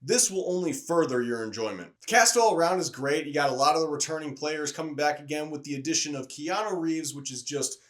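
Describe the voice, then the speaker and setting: A man's voice, pitched a little higher than average, delivers a casual movie review. He talks conversationally, like a video reviewer addressing viewers. His delivery is enthusiastic and opinionated. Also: fairly quick, few pauses.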